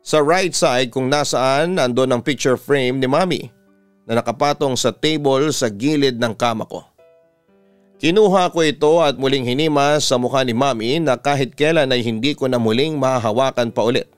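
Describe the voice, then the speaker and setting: A man with a Filipino accent narrating a letter as a radio-style story host, measured and sentimental. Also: medium pitch, clear, resonant tone, dry recording. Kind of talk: dramatic narration of a listener's letter. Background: instrumental music.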